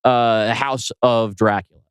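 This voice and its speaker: A man's voice: mid-range voice, steady tone, measured pace, smooth, no echo, clean studio sound. A man talking casually as a podcast co-host, discussing writing in a conversational way. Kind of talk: casual chat about scriptwriting.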